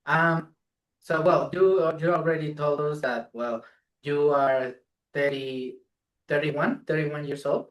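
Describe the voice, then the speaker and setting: A man's voice: a little high, fairly even intonation, takes it slowly, rough recording. A man of 27, co-hosting a casual interview in a somewhat hesitant way. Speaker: interviewer, co-host.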